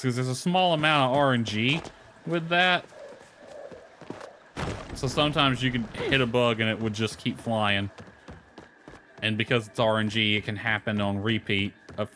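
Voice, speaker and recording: A man plays a video game and comments casually as he goes. His voice sits at an average male pitch. He mutters and grumbles to himself, with clear noise behind him. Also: pushed and bright.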